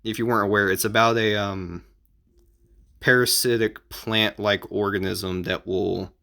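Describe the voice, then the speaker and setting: A man giving casual advice as an amateur video creator, explaining in an informal, halting and repetitive way. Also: lowish voice, creaky, a bit bright.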